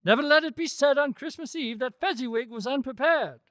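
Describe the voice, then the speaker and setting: A man's voice: very high voice, fairly quick, fairly bright tone, no room sound, clean studio sound. A man playing a jolly, hearty old merchant in a radio drama, full of exuberant exclamations. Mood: jolly, bustling.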